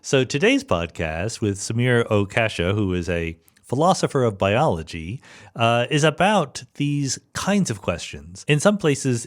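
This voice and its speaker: A man hosting a podcast in a reflective, casual conversational way, often posing questions. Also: fairly low pitch. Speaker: podcast host. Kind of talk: conversational podcast introduction and interviewing.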